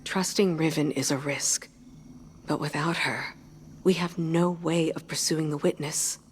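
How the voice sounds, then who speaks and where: An actress playing a weary, guilt-ridden female advisor who briefs in a measured, earnest way. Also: low voice, fairly even intonation, slight background noise. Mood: regretful.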